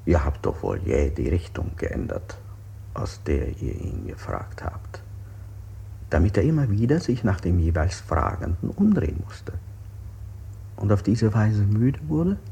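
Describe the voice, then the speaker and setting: An actor playing a mock-courteous chief interrogator in a radio play, putting pointedly polite, leading questions. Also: male voice, low voice, huge pitch swings, a little slow, gravelly, mellow, exaggerated voice. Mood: insinuating. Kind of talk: avant-garde radio play acting.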